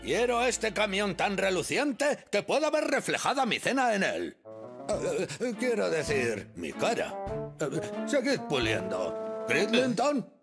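A man's voice: high voice, very animated, takes it slowly, exaggerated voice. A man playing a pompous, bossy fire station chief who grumbles and barks orders in a cartoon dub. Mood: pompous, demanding. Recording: music behind the voice.

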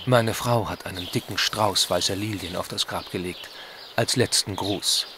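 An actor playing a proper, excitable Victorian country gentleman with a formal manner in an audio drama. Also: low-pitched, moderate pace, heavy background noise, close to an everyday voice. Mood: indignant, uneasy. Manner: formal, dignified. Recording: loud outdoor ambience with insects.

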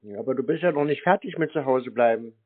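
Deadpan man on a phone line. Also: mid-range voice, quick pace. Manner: deadpan teasing. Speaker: scam-baiter taking calls. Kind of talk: casual phone conversation.

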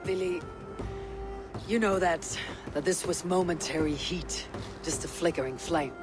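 Slow-spoken young Viking warrior, played by a man. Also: a little high, over music, lightly acted. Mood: soft, reflective. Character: steady, wry young Viking warrior and loyal friend. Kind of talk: cinematic game dialogue.

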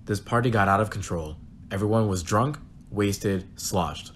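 Man playing a low-voiced, flustered supervisor. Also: a little slow, a bit bright, slight background noise. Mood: defensive, flustered. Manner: rambling, awkward. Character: flustered, defensive male downstairs supervisor. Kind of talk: audio drama dialogue.

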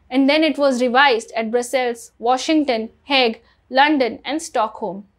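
A young woman reading out a scripted law lesson in a steady way, facing the camera up close in a small room. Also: sits high. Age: young adult. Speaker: law lecturer. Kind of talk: scripted educational explainer.

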